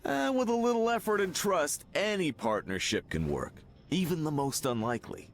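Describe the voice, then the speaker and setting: An easygoing everyman superhero, a little high-pitched and hugely expressive. He is earnest and self-deprecating in his game banter. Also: moderate pace. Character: earnest, hardworking everyman hero without powers. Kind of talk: video game character dialogue.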